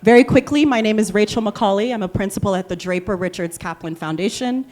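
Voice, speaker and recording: A woman with a Liberian accent moderating a panel discussion, briskly affirming and summarizing the speakers' answers. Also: mid-range voice, darkish tone.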